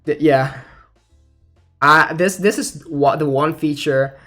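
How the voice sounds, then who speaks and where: A young man giving casual, informal game news commentary as a YouTuber, thinking out loud in a hesitant way. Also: fairly high pitch, slow pace. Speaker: gaming YouTuber. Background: music.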